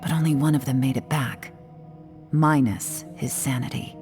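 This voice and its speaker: A woman playing a cool, composed, shrewd investigator in a video game, speaking in a measured way. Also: rumbling low. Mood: grave, explaining. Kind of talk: game voice acting. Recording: music behind the voice.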